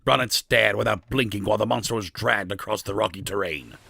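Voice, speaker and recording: A man's voice: fairly low pitch, a little fast, creaky, sharp tone, slight background noise. A man narrating a scripted fantasy story as the narrator of an audio drama.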